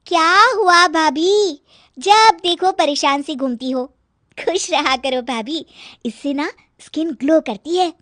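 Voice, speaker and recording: A woman's voice: high voice, slow, fairly bright tone, dry recording, clean studio sound, pitched above the performer's own voice. A woman voicing a cheeky, easygoing young daughter of the house who teases her sister-in-law. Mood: teasing. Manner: teasing, easygoing.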